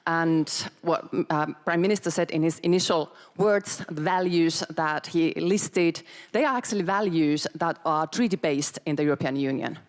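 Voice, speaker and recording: A woman's voice: low voice, moderate pace. Assertive woman in a hall. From Finland. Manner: firm, pointed and assertive. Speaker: audience member asking a question. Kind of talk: conference question from the floor. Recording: long reverb of a large hall.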